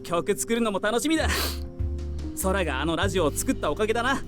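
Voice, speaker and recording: A man's voice: high voice, animated, very fast. An actor playing a cheerful, casual teenage boy in a Japanese visual novel, with music behind him. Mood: bright, friendly.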